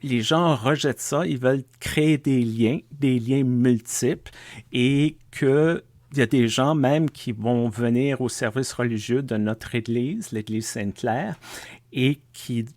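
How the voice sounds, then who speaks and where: A man, a pastor co-hosting a podcast, talking in a thoughtful, measured way from his home basement. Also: mid-range voice, darkish tone, dry recording. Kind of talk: casual conversation.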